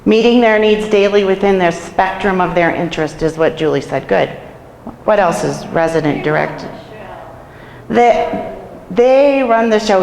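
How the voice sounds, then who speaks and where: A hoarse woman with a cold, a nursing home administrator, giving a chatty staff training and asking the room questions. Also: fairly low pitch, a little mellow, slight background noise. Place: meeting room in a care home. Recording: echoey room with long reverb.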